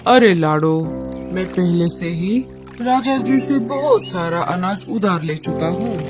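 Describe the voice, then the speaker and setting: A woman's voice: on the low side, lively intonation, takes it slowly, music in the background, pitched below the performer's own voice. A woman voicing an adult male bird with a businesslike air in a children's cartoon. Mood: resigned. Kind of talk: animated children's story dialogue.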